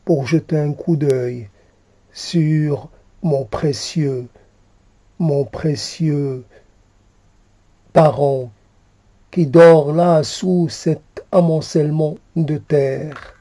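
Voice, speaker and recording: A man reciting poetry in a solemn, halting manner, repeating phrases as he goes. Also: fairly high pitch, fairly even intonation, dark tone, dry recording. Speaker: poet reciting own poem.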